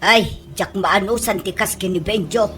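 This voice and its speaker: A woman's voice: mid-range voice, normal speed, pushed and bright, soft background music, lightly acted. A woman playing a strict, exasperated office boss in an Ilocano radio comedy, with an Ilocano accent.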